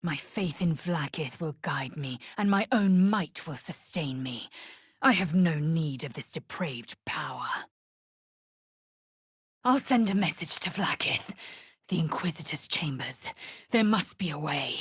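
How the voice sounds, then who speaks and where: A woman playing a fierce, proud alien warrior in a video game, speaking in a haughty, clipped, formal manner with a husky edge. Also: sits low, expressive, unhurried, dry recording, studio quality, full character voice. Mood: proud, stern. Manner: imperious, clipped, formal phrasing. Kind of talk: video game character acting.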